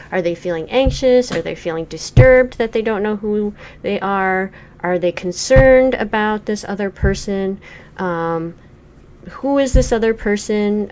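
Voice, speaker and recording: A woman's voice: mid-range voice, a little mellow, a little hiss or hum. A woman in her forties giving casual, thoughtful commentary on a game she is playing, full of ums and likes. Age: 40s. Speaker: game reviewer. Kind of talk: casual let's play commentary.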